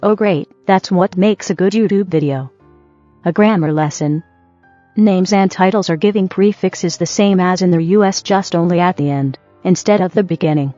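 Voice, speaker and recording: A woman's voice: lowish voice, normal speed, warm tone, no room sound. A woman co-hosting in scripted banter, with a dry, sarcastic and needling manner. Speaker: co-host. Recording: dull dry audio, music behind. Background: background music.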